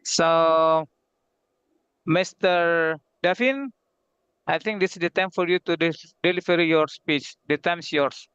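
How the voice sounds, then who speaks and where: Conference moderator, male. High-pitched, halting non-native English, formal; dry but dull lo-fi call sound. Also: fairly bright tone, clean tone, no room sound. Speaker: session moderator. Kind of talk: formal online conference introduction. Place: online video call. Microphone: video-call microphone. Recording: dull, lo-fi video-call audio.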